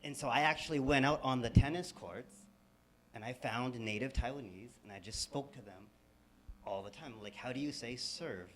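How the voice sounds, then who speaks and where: A man's voice: medium pitch, normal speed. Enthusiastic man, distant in a hall. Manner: enthusiastic, repetitive, drops to quiet asides. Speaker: audience member, language program founder. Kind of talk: casual sharing from the audience. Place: event hall.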